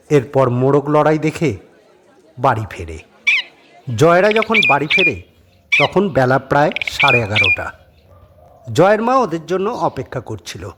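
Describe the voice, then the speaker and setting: Guy narrating a spooky audio story, very up n down delivery, mid pitch, noisy recording. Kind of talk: dramatic scripted horror-story narration. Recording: clearly noisy background.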